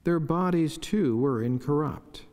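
A middle-aged friar reading a devotional reflection in a solemn, measured, formal way from a chapel lectern. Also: male voice, a little high, darkish tone. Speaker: friar preaching. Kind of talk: read devotional reflection and prayer. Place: wood-panelled chapel indoors, at a lectern. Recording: long echoing chapel reverb.

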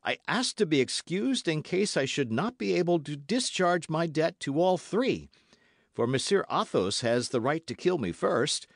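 A man's voice: medium pitch, no room sound, clean studio sound, pitched above the performer's own voice. A man voicing a bold young Gascon swordsman, gallant and proud, with a ringing, impassioned delivery.

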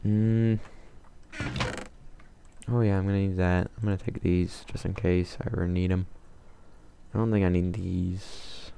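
A man calmly talking to himself while playing a game, in a soothing, mumbling way. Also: deep voice, unhurried, gravelly, pauses often, slight background noise. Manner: calm, mumbling, self-talking. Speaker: gamer. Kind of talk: casual let's play commentary.

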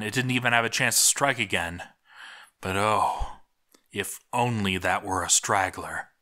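Give the story a doomed male dwarf played by a man, bitter and sardonic, slowly losing his mind. He delivers his journal dramatically in a forceful, bright voice, cursing as he goes. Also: on the low side. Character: doomed male dwarf expedition diarist, bitter, cracking into madness.

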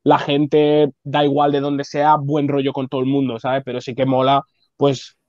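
A man's voice: mid-range voice, moderate pace, no echo, muffled recording. A man in his twenties from Spain, with a Barcelona-area Spanish accent, chatting casually as a guest on a video call, full of slang and fillers. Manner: slangy, relaxed, many fillers. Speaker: guest rapper. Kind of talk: casual interview chat. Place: indoor bright room. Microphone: video call webcam.

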